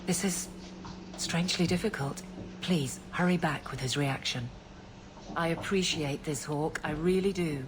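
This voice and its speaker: Low-voiced, stern guard captain. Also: female voice. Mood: awkward, guarded. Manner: brisk, clipped, authoritative. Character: stern, awkward female captain of the city guard. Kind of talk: fantasy video game acting. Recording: game audio under clear noise.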